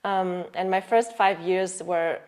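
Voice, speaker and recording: A woman in her thirties from Germany, a yoga teacher speaking in a casual interview and pausing to search for words. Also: fairly low pitch, darkish tone. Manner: thoughtful, searching for words with restarts.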